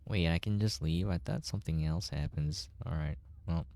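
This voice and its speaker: A man's voice: low-pitched, normal speed, creaky, darkish tone, slight background noise. A man giving casual commentary while he plays through a game. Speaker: game preview player.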